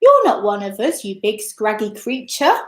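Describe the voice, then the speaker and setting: A woman's voice: mid-range voice, sing-song, normal speed, reverberant, close to an everyday voice. A woman voicing a haughty, hostile wild duck in a storybook, demanding and insulting.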